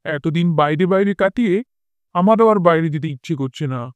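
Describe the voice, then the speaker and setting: A man plays a stubborn, mother-devoted husband in a cartoon family drama. He has a high male voice and talks quickly. The recording is close and dry. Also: smooth, no echo, natural voice. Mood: placating. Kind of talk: Bengali cartoon fairy-tale voice acting.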